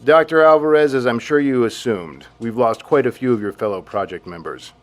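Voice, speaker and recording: A man's voice: medium pitch, a little fast, close to an everyday voice. A man playing a formal, pragmatic army general in an audio drama, speaking with clipped authority. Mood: firm.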